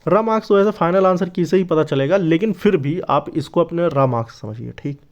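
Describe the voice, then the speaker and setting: A man's voice: a little high, fairly quick, muffled recording. A man giving an informal explainer to viewers as an online presenter, addressing them in a familiar, brotherly way with frequent check-in tags. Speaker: YouTube presenter of exam updates.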